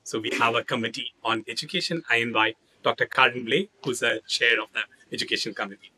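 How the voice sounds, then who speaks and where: A man's voice: mid-range voice, fast, sharp tone, breathy edge, rough recording. A man moderating a conference plenary, who introduces each speaker in a brief, formal way.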